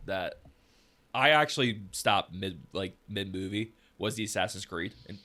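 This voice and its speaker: A man's voice: fairly low pitch, moderate pace, bright, forceful tone. A man co-hosting a podcast, chatting casually with his friends. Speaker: podcast co-host. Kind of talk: casual chat.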